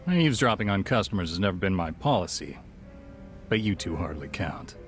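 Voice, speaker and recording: A shrewd bartender in a game cutscene, with a low voice and a measured, composed delivery. He is dry and discreet, and music plays clearly behind him. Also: very animated. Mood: wry, composed. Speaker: video game character. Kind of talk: dramatic game cutscene acting.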